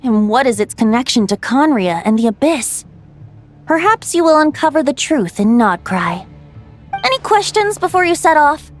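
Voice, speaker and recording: A high-voiced, poised female lore guide. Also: sing-song, normal speed, faint music behind. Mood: measured, solemn. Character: calm, playful female guide recounting ancient lore. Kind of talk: scripted game dialogue, storytelling.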